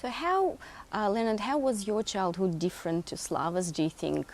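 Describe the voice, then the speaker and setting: A woman's voice: medium pitch, moderate pace. A woman interviewing guests, asking questions and encouraging them with frequent affirmations.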